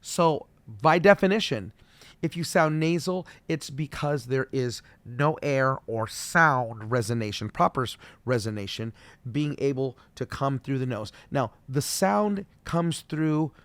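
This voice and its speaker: A middle-aged man teaching as a vocal coach, friendly and explaining slowly with demonstrations. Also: mid-range voice, expressive, no echo. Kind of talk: solo video voice lesson.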